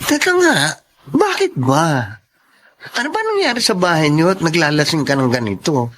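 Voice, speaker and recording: Bright, sassy Filipino best friend. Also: male voice, a little high, very animated, normal speed. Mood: worried, scolding. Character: sassy, nagging, caring best friend Renz. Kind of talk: Tagalog radio drama acting.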